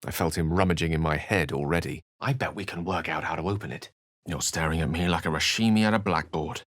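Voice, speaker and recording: A refined, scholarly video game wizard in middle age. He phrases things ornately and with wry precision, theatrical yet measured. The recording is close and dry. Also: very deep voice, a bit bright, no echo. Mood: wry, curious. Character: eloquent, refined adult male wizard companion, wry and scholarly.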